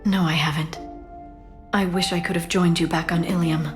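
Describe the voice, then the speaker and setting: A woman playing a gentle, earnest alien scholar in a video game, speaking softly and with measured sincerity. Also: lowish voice, level tone, over music, natural voice. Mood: tender, reflective. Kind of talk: dramatic video game acting.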